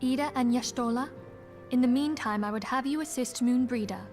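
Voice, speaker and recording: A woman's voice: fairly high pitch, fairly quick, darkish tone, natural voice. An actress playing a composed, gracious woman leader giving formal orders in video game dialogue over music.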